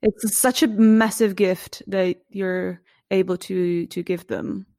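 A woman's voice: medium pitch, fairly even intonation, takes it slowly, mellow, no echo, clean studio sound. A Czech woman in her thirties hosting an interview, curious and friendly, with many fillers. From Czech Republic. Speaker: podcast host. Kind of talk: casual interview chat.

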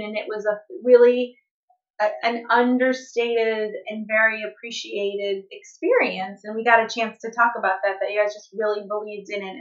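A woman's voice: medium pitch, fairly bright tone. A woman co-hosting a podcast, encouraging her guest and asking long, layered questions. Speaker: podcast co-host. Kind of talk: interview hosting. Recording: dull lo-fi podcast sound.

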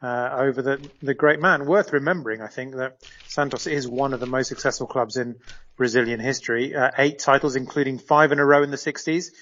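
A man's voice: mid-range voice, fairly even intonation, a little fast, a bit bright, lo-fi sound. A man serving as a correspondent in a live radio interview, explaining at length with frequent fillers and restarts.

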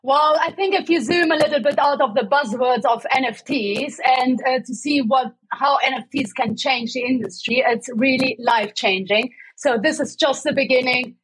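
A woman's voice: high voice, normal speed, hardly any pauses, breathy edge, rough recording. A woman from Germany, a guest on a podcast, giving upbeat, emphatic short answers. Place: home setup.

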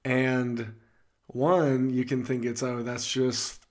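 A man with a gruff voice co-hosting a faith podcast in an easygoing, joking chat full of fillers. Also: mid-range voice, little pitch movement, slow pace. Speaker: podcast co-host.

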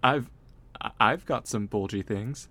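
A young man playing an awkward, earnest character in a comedic audio drama, with a mild and halting way of talking. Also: fairly low pitch, sharp tone, no echo, heavily acted.

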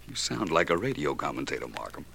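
An actor playing a suave, unflappable private detective in a 1940s radio drama, speaking with polished, dry understatement. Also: male voice, a bass voice, gravelly, fairly bright tone, a little hiss or hum, exaggerated voice. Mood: wry.

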